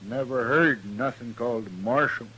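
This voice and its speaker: A man's voice: medium pitch, pushed and bright, natural voice. An actor playing a stubborn, menacing backwoods man with a rustic Ozark accent in a radio Western. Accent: Southern US mountain, Ozark. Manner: blunt, slow-witted, rustic dialect. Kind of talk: old-time radio Western acting. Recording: noisy old radio recording.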